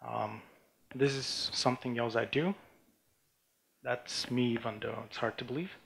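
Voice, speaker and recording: Guy doing a tech demo at a conference, gravelly, keeps restarting sentences. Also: mid-range voice, fairly even intonation, a bit bright, a little hiss or hum, rough recording. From Bulgaria. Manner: halting, frequent restarts and fillers while demoing. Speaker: conference speaker. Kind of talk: technical conference talk with live demo. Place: conference room before an audience.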